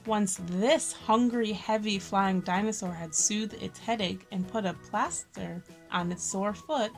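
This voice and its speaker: A woman's voice: mid-range voice, a little slow, creaky, faint music behind. A woman hosting a children's story time, reading a picture book expressively with friendly asides and stressed key words.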